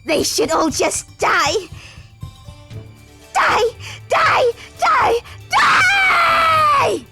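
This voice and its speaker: Casting: a vain, self-loathing sea monster, very high piercing female voice, theatrical and vicious, swinging widely. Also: wildly swooping pitch, drawn-out words, gravelly, pushed and bright, shouting, soft background music, heavily acted. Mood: enraged. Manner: vicious, theatrical shrieking and gloating. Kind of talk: visual novel dub, melodramatic voice acting.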